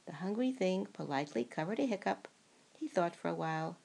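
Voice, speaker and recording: Older woman, storybook read-aloud. Very creaky, husky voice, unhurried and swooping in pitch. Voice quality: gravelly, creaky. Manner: slow, expressive, acts out dialogue. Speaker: storybook reader. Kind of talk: animated children's picture-book read-aloud.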